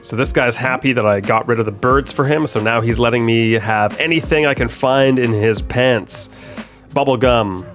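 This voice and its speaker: A man's voice: mid-range voice, moderate pace. Game dev doing his own play-through commentary; nasal from a cold, easygoing, lots of filler words, mid-range voice, light music bed. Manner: relaxed, explanatory, many ums and uhs. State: stuffed up from a head cold. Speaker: game developer. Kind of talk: gameplay commentary.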